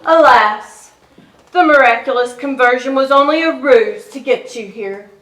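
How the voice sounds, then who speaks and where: Female actor for bitter pagan queen mother, stage drama: imperious, cutting bright voice, scornful delivery, roomy acoustic. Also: high-pitched, a little slow. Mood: mocking. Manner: haughty, mocking, declamatory. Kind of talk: theatrical stage reading. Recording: echoey stage hall.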